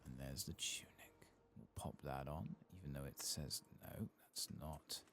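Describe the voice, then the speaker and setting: A man giving soft-spoken, half-whispered ASMR commentary on a game, murmuring low-key into a close microphone. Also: a little slow. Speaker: ASMR video game streamer.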